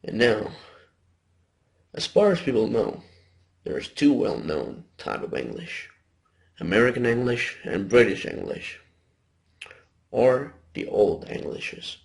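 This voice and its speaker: A man's voice: medium pitch, sing-song, takes it slowly, gravelly, pauses often. A young man with an Indonesian accent gives an informal class presentation, explaining loosely with self-corrections. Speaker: student presenter.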